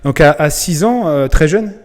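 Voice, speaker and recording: A man hosts a casual interview for a club channel. His voice is mellow and warm in tone, and he speaks in a friendly, hesitant way with many fillers. The room gives a long reverb. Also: a little high, animated, normal speed.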